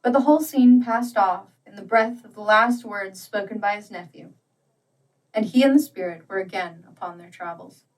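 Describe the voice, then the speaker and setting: A woman narrating a literary story as an audiobook, with measured, deliberate and dramatic phrasing. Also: medium pitch, recorded from a distance, poor audio.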